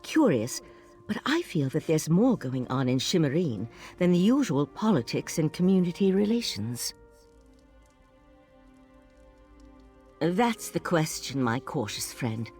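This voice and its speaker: A woman playing a shrewd, secretive investigator in a video game, calm and curious, with a measured, slightly wry manner. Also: sits low. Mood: curious, conspiratorial. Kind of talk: video game character dialogue. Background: music.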